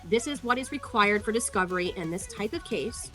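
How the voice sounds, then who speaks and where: A woman's voice: mid-range voice, lively intonation, brisk, soft background music. A woman giving casual, sarcastic commentary as she walks through court records, scornful and free with swearing. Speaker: online commentator.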